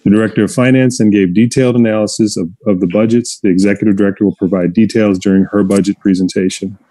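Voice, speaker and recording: Man, low mellow voice, formal report reading, dry close sound. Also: steady tone, quick pace, no room sound. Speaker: commissioner. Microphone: headset earphones on a video call.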